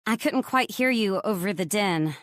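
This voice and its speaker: A woman's voice: medium pitch, lively intonation, moderate pace, a bit bright, no room sound, studio quality, voice pushed up, close to an everyday voice. A woman voicing a polite, inquisitive young newcomer in a romance audiobook, apologetic and questioning.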